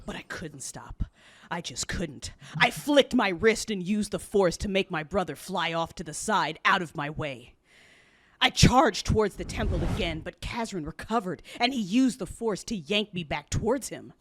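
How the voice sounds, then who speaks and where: A woman's voice: fairly low pitch, expressive, normal speed. A woman playing an anguished, rage-consumed Jedi warrior, narrating an audio drama in the first person with intense emotion. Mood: tense. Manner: intense, emotive, shifting from hushed to roaring.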